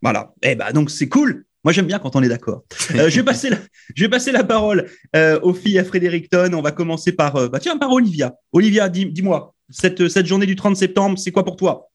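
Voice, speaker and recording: European-born radio host, fairly high voice, passionate and halting. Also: male voice, lively intonation. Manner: emphatic, hesitant with many fillers. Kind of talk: radio panel discussion. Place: radio studio.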